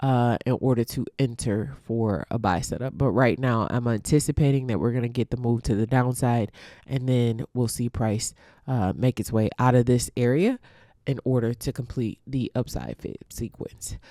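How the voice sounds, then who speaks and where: A woman's voice: very deep voice, moderate pace, dark tone, no room sound, studio quality. A congested woman teaching forex trading, walking through a chart casually and thinking aloud with ums and restarts.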